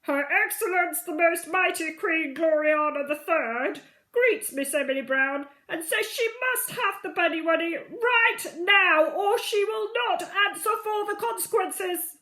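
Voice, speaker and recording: Woman putting on a pompous, high-pitched wing commander. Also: measured pace, bright, forceful tone, heavily acted, poor audio. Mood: pompous, insistent. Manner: pompous, formal, declaiming. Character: pompous male Air Force wing commander delivering demands. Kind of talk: children's storybook read-aloud.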